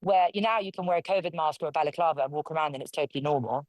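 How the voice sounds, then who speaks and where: A woman's voice: low voice, rapid-fire, darkish tone, no echo. A woman from London, a local councillor, giving forthright, conversational answers as an interview guest. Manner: forthright, conversational, speaks her mind.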